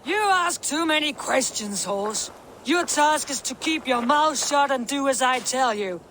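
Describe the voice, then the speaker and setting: A scornful Viking boss woman, her voice bright and forceful, speaks slowly and curtly. She commands like a hard game character, with faint outdoor noise around her. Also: high voice, expressive. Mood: curt, commanding. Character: gruff, bossy Norse female camp overseer. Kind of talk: video game voice acting.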